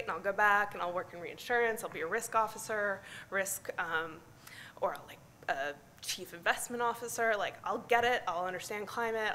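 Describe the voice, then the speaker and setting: Midwestern woman speaker, middle-aged, bright voice, candid and self-deprecating. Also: mid-range voice, slight background noise. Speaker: conference speaker. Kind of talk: personal talk at a science meeting. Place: large conference hall.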